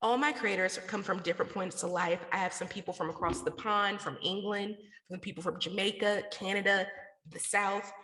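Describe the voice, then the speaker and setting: A woman's voice: medium pitch, fairly even intonation, normal speed, a bit bright. Echoey, reverberant woman in an interview. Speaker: interview guest, podcaster and radio station founder. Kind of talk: conversational interview answers. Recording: echoey room with long reverb.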